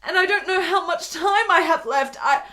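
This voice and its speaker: An actress playing a frail, needy dying woman in a period radio drama, halting and beseeching in her delivery. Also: very high-pitched, sharp tone. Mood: pleading, sorrowful.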